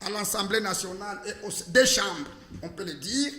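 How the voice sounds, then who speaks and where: A man's voice: high-pitched, animated, normal speed, bright, forceful tone, a little hiss or hum. A man with a Congolese accent speaking as a political panel guest in a formal, declamatory way. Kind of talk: political debate interview. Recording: reverberant hall-like room.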